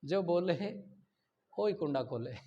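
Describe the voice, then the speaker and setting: Male law lecturer, Pakistani accent, high voice, didactic delivery that checks for understanding, on a dull lo-fi recording. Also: animated, a little slow. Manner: didactic, checks understanding often, switches between Urdu and English. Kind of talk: classroom lecture, reading statutes aloud and explaining them.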